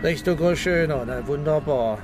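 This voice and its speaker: A man's voice: on the high side, normal speed, music in the background, close to an everyday voice. An actor playing an irritable, officious village policeman in a radio drama, curt and sarcastic in colloquial speech.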